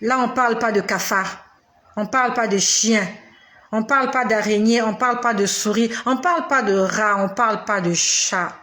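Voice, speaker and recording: A woman in her forties preaching a sermon straight to the listener, with slow, emphatic repetition and dramatic pauses. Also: a little high, pushed and bright. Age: 40s.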